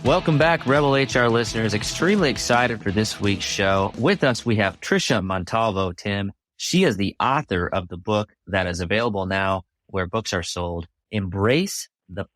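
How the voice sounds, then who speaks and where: A man's voice: lowish voice, expressive, normal speed, fairly bright tone, dry recording, soft background music. A man hosting a podcast, talking in a conversational interview style. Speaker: podcast host.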